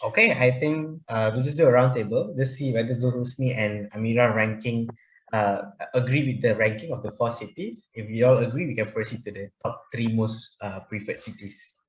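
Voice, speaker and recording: A young man steers the group discussion, briskly calling on each person in turn. His mid-pitched voice rings in an echoey room. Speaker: discussion moderator.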